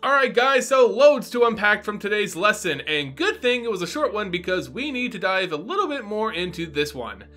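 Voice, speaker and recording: A man delivers dramatic story narration and explanation as a host. His voice sits fairly high and moves with great animation. He sounds theatrical and teacherly, with music playing behind him. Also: big pitch swings, moderate pace, few pauses, bright, forceful tone. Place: small home room, indoors.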